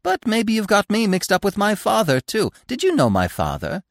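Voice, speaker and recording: A man voicing a chatty, fussy, excitable squirrel in a storybook, gushing and emphatic. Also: high-pitched, moderate pace, vocal fry, dry recording, clean studio sound, pitched above the performer's own voice, heavily acted. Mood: chatty, sociable.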